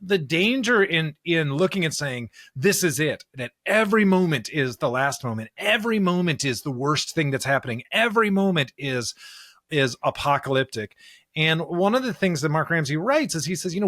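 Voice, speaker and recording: A man's voice: on the high side, fairly bright tone, no room sound, studio quality. A man co-hosting a podcast, talking through scripture in an earnest, emphatic, conversational way. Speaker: podcast co-host.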